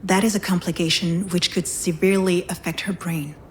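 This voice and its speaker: An actress playing a frank, composed, sympathetic hospital doctor in a visual novel, speaking in a measured, professional way. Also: female voice, on the low side, steady tone, slight background noise, lightly acted. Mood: grave, sympathetic.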